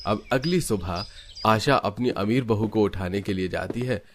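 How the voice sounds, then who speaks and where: A man's voice: on the low side, measured pace, noisy background. A man reading scripted narration for a cartoon family story.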